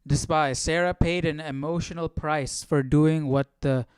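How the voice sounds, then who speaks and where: A man's voice: a little high, fairly even intonation, measured pace, clear, resonant tone, no room sound. A man casually commenting on what he reads as a stream host, musing and questioning as he works out his thoughts. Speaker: host of a live Bible study stream. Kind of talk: casual reading commentary, thinking aloud.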